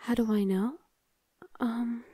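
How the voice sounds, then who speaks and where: A young woman voicing a gentle older sister in an ASMR roleplay, speaking softly and half-whispered to comfort a younger sibling. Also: on the high side, steady tone, takes it slowly, husky, no echo. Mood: reassuring. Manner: soft, half-whispered, reassuring. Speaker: voice actor.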